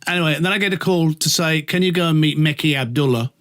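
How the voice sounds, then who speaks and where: A man's voice: on the high side, fast, a bit bright. A middle-aged British man chatting in a camp, theatrical way, telling anecdotes and acting out the dialogue as he goes. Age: middle-aged or older. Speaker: radio presenter, interview guest. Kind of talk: animated anecdotal chat.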